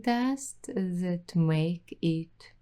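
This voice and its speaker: Female ASMR host, whispery and soft, slightly low voice, dreamy and intimate delivery. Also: animated. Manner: slow, dreamy, intimate, addressing the listener directly. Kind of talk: soft-spoken ASMR roleplay.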